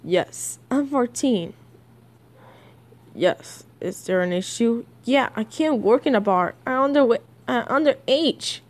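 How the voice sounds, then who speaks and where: A woman playing a cocky fourteen-year-old comic villain boy. He sounds blunt and sassy, with a slightly lowered pitch and a slow, defiant delivery. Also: lively intonation. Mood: deadpan.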